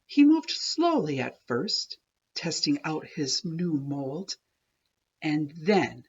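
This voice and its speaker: A woman reading a rhyming children's story aloud in an expressive, deliberate, sing-song way. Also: sits low, husky, lo-fi sound. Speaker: children's book reader. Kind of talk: animated storybook read-aloud of a rhyming tale.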